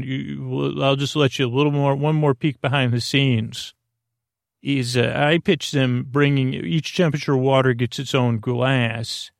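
A man hosting a sleep podcast, wandering through a silly, halting bedtime monologue full of restarts and asides. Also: medium pitch, vocal fry, no room sound, studio quality. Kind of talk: rambling, meandering bedtime monologue.

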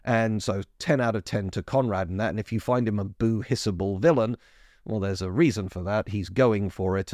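A man's voice: deep voice, a little mellow, dry recording, clean studio sound. A man hosting a podcast in a casual, chatty way, often restarting his sentences and leaning hard on key words. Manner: chatty, self-interrupting, emphatic. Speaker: podcast host. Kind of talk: casual history chat.

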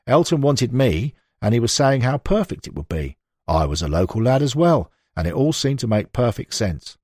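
A man voicing a blunt, animated football manager reminiscing. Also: mid-range voice, no room sound, studio quality. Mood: animated recollection. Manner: conversational, emphatic, blunt storytelling. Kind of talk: acted interview quotations in an audiobook.

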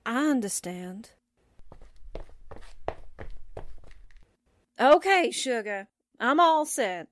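Woman playing a sassy, animated radio sidekick. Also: on the high side, slow, slight background noise. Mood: puzzled, cooperative. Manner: wry, wisecracking. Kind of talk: 1940s radio acting.

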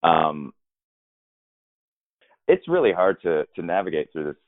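A man with a very low, deep voice talks through his career in a reflective, meandering way, circling back and restarting as he thinks. The sound is thin and phone-like, with no room echo at all. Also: very animated, unhurried. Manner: thoughtful and rambling, with many restarts and fillers. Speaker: musical theater performer. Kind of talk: reflective interview answers. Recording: dry, tinny phone-like line.

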